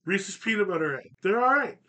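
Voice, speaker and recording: A man's voice: sits high, expressive, fairly quick, sharp tone. A man hosting a podcast, leading a casual, jokey chat with friends. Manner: jokey and upbeat, leads the talk. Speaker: podcast host.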